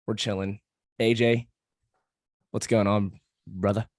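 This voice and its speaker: Slightly hoarse, laughing podcast host man. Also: fairly low pitch, little pitch movement, slow, darkish tone, dry recording. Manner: laughs often, swears freely, loose joking delivery. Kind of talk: casual podcast chat.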